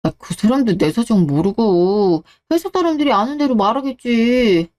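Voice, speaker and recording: Radio drama role: evasive, desperate husband played by a woman, self-justifying and pleading, with a muted, mellow timbre. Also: fairly high pitch, animated, moderate pace, lightly acted. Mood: defensive, aggrieved. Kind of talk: radio-drama story dialogue.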